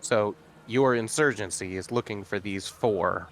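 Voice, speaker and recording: A man's voice: lowish voice, measured pace. A man playing a tabletop game, chatting casually with a dry, offhand manner.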